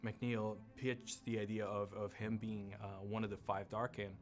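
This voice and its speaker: Male developer interviewee, lowish pitch, light music behind. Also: level tone, moderate pace.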